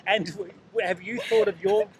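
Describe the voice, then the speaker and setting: A man's voice: high-pitched, steady tone, normal speed, crowd noise. A man doing casual street interviews outdoors at a beach, chatting and joking with the people he questions. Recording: noisy outdoor ambience.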